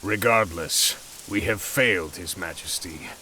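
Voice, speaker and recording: A man's voice: low voice, measured pace, sharp tone, a little hiss or hum, rough recording. A man playing a proud, battle-hardened general in a video game, formal and weighty in manner. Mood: grave. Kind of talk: video game cutscene acting.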